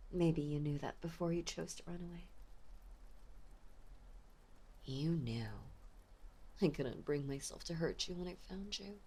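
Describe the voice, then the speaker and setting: A woman playing an obsessive, possessive mafia heiress ex-lover in an intimate audio drama, speaking with menacing sweetness and often slipping toward a breathy whisper. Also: lowish voice, darkish tone. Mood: soft threatening. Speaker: voice actor. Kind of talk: intimate dramatic roleplay monologue.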